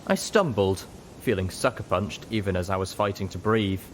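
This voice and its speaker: A man narrating a dramatic first-person story in a measured, grave and emotive manner. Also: deep voice, a little hiss or hum. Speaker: audiobook narrator.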